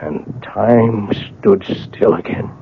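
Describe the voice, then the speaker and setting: An actor playing a guilt-ridden, obsessed clockmaker in a 1980s radio drama, intense and halting in his delivery. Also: on the low side, vocal fry, background noise, full character voice. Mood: brooding, haunted. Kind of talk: 1980s radio drama acting, first-person narration and dialogue. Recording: tinny band-limited radio recording, music behind.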